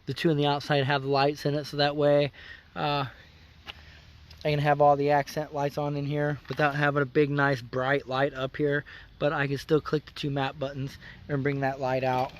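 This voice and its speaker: A man hosting a car vlog outdoors, explaining his install in a plain-spoken, folksy way, his voice a bit hoarse. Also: a little high, monotone, normal speed. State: slightly hoarse. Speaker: car customizing channel host. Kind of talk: casual how-to vlog.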